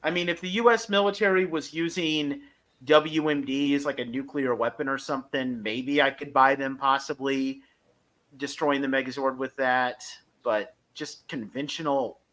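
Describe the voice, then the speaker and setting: A man hosting a casual fan discussion, speaking in halting stretches with emphatic bursts. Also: mid-range voice, fairly bright tone. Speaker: podcast host reviewing a fan audio drama.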